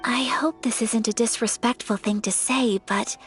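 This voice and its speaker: A woman playing a gentle, refined young noblewoman in a video game, speaking politely and earnestly in a formal, measured way. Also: fairly high pitch, steady tone, faint music behind, natural voice. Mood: wistful, reflective. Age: young adult. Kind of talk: video game character acting.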